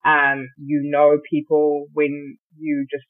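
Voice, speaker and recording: A woman's voice: deep voice, monotone, takes it slowly. A woman chatting casually with a friend in a playful, giggly, informal way. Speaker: podcast guest. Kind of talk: casual chat between friends. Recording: tinny, phone-like call audio.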